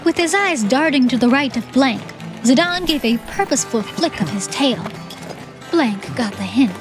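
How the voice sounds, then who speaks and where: A woman's voice: sits high, animated, normal speed. A woman reading scripted, dramatic story narration as the narrator of an audiobook. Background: background music.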